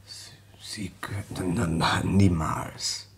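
An actor playing an earnest, troubled gentleman who narrates an old German radio crime play in a careful literary manner. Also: sits low, little pitch movement, slightly rough, in a whisper, heavily acted. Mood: doubtful, troubled. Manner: measured, literary storytelling, hesitant in dialogue. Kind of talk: mid-20th-century German radio crime drama narration and acting.

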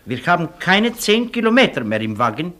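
An actor playing a devoted, simple, deferential Polish manservant and driver in a German radio play. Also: male voice, fairly high pitch, big pitch swings, fairly quick, pushed and bright. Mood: dutiful, dry.

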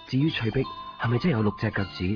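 A man's voice: medium pitch, very fast, close to an everyday voice. An actor playing an earnest, curious young man with a Hong Kong Cantonese accent, talking and narrating in a radio drama. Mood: reflective. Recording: dull 1990s radio, loud music behind. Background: music.

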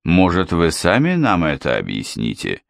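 A man voicing a calm, firm young woman who speaks in a level, pointed way. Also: deep voice, normal speed, creaky, no room sound, clean studio sound, heavily acted. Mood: cool. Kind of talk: audiobook character voice.

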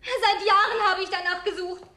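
A high female voice in a radio drama, playing a lonely, genteel woman. She speaks with formal politeness and soft exclamations. Wistfulness and suspicion sit close together, and she is easily wounded. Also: fairly even intonation, normal speed. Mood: hurt, upset. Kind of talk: German radio drama acting, naturalistic dialogue.

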